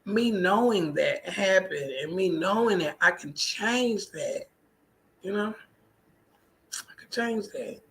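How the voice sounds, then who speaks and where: A woman's voice: mid-range voice, expressive, slow, creaky, long pauses, a little hiss or hum. An American woman talking casually in a personal vlog monologue, emphatic, colloquial and conversational. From United States. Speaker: vlogger.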